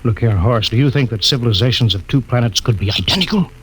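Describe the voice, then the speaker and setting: An actor playing a brisk, authoritative male spaceship captain in a 1950s radio drama. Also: mid-range voice, lively intonation, breathy edge, low-quality recording. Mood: bewildered.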